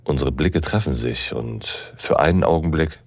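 A man's voice: rumbling low, normal speed, vocal fry, no room sound, heavily acted. A man playing a seductive, commanding university professor who narrates an intimate audio drama in the first person, sighing between phrases. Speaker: narrator and lead actor.

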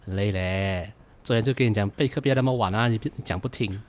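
A man acting a caring, gently nagging boyfriend in a radio drama, with a Malaysian Mandarin accent. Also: fairly low pitch, fast talker, close to an everyday voice. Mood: fond nagging.

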